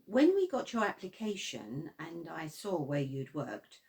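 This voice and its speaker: A woman acts a careers interviewer in a radio play. Her voice sits a little low but moves up and down a lot. She is polite, probing and slightly awkward, with a corporate manner. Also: very animated, measured pace, vocal fry, darkish tone, exaggerated voice. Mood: curious, tentative. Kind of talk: radio drama acting.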